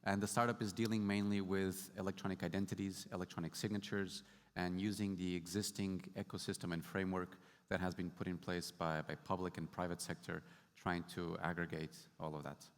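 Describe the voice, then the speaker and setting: A man's voice: low voice, flat delivery, brisk. A man from Portugal speaking casually on a conference panel about his startup work, explaining with light humor. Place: large conference hall stage. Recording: hall reverb.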